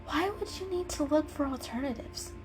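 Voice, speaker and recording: A woman voicing a gentle, anxious female cat with an earnest, hesitant manner in a dramatic storybook reading. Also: high voice, normal speed, voice pushed up, close to an everyday voice. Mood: worried. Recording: music behind the reading.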